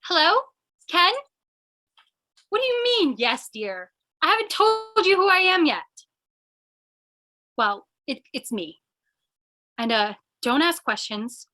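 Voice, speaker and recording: A woman's voice: high voice, very animated, takes it slowly, long pauses, pushed and bright, heavily acted. A woman playing a plucky, mock-dignified lady gang boss in an old-time radio play, theatrical and coy. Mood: coy, sly.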